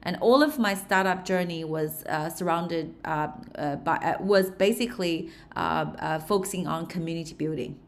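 Interview guest: young woman, Australian-tinged Chinese accent, fairly low voice, pauses to search for words. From China. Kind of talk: interview answers.

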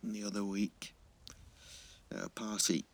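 A man's voice: on the low side, fairly even intonation, a little slow, no room sound. An actor playing a shy, earnest teenage schoolboy, hesitant and flustered, repeating his words in a naturalistic audio drama. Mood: guarded, awkward. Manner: hesitant, stammering repetitions, flustered.

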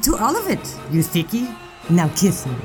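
A woman's voice: medium pitch, sing-song, moderate pace, over music, lightly acted. An actress playing an opinionated young woman of the 1920s, arch, refined and playfully scolding in an audio drama. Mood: playful, affectionate. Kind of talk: period audio drama acting. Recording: clearly noisy outdoor ambience behind.

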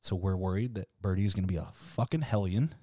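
A man in his thirties with a deep voice, hosting a casual podcast chat in a relaxed, freely swearing way. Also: quick pace, no echo, studio quality. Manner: laid-back, swears freely, laughs often. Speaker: podcast host.

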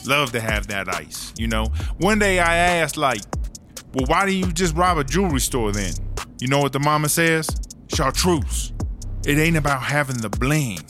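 A man's voice: on the high side, expressive, normal speed, bright, forceful tone. A man playing a streetwise, long-serving woman prisoner who tells stories in slangy, sweary talk for a comedy audio drama. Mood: animated, gossipy. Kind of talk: casual first-person storytelling in a comedy audio drama. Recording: music under the voice.

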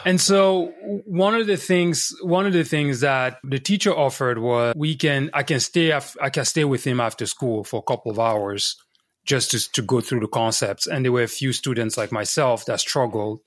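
A man from Cameroon, a guest on a podcast, telling a personal story in an unhurried, thoughtful way. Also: mid-range voice, no room sound, clean studio sound. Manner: unhurried, thoughtful, frequent restarts. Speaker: podcast guest, life coach. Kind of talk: casual interview storytelling.

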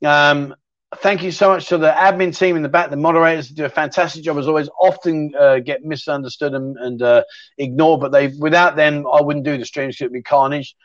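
Matey host, middle-aged, rambling chat, slightly raised pitch, dry close mic. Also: male voice, steady tone, fairly quick, dry recording, highs cut off. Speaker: livestream chat show host. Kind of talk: casual livestream chat reading viewer questions.

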